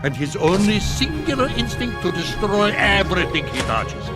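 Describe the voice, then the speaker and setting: An actor voicing an eccentric, boastful alien scientist in a video game, theatrical and grandiose, with a mock Russian accent. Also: male voice, high voice, big pitch swings, moderate pace, loud music behind the voice. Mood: proud, gleeful. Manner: theatrical, grandiose, broken grammar. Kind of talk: animated video game voice acting.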